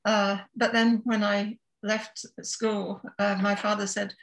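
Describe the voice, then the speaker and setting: A woman in her seventies from Scotland speaks as a guest in an interview. Her voice sits at a middle pitch, and she phrases things thoughtfully, with some hesitation, in a reflective, conversational storytelling style. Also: flat delivery, slow, low-quality recording. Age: 70s. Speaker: interview guest, author and therapist.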